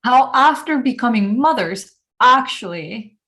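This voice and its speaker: A woman hosting a podcast interview, chatty and lively, laughing often as she talks. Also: a little high, unhurried. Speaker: podcast host and coach. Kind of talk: casual interview chat.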